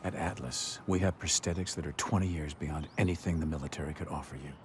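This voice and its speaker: An imperious middle-aged military magnate speaks in a low, controlled voice. He is pointed and persuasive, in a dramatic game scene with faint ambient noise. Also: steady tone, fast. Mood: firm, persuasive. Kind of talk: dramatic video game acting.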